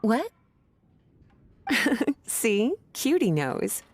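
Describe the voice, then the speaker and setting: Casting: teasing, languid grown charmer for a game, highish breathy voice, very lively intonation. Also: female voice, extremely slow, full character voice. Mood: concerned. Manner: playful, coquettish, drawling. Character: flirtatious, teasing, languid grown woman, caring friend. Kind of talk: video game voice acting.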